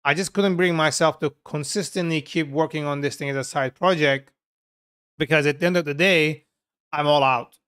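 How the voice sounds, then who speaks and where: A man from Turkey with a Turkish accent, a software developer chatting frankly and with profanity to his viewers while coding live. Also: fairly high pitch, steady tone, moderate pace, smooth. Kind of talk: casual live coding stream chat.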